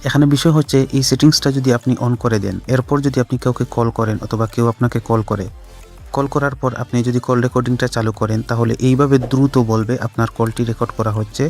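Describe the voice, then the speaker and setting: A man hosts a step-by-step tech tutorial at a quick pace. He sits at an average male pitch and repeats instructions directly to his viewers, with music playing behind him. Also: steady tone, darkish tone. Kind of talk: scripted-sounding how-to narration addressed to viewers. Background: background music.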